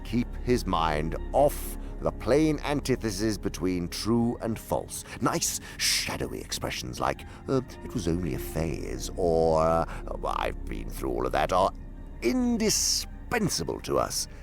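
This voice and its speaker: A man's voice: low voice, wildly swooping pitch, gravelly, faint music behind, full character voice. An actor playing a pompous, sly senior demon mentor in an audio drama, savoring his words theatrically. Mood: smug, instructing. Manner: pompous, relishing, theatrical delivery with drawn-out words.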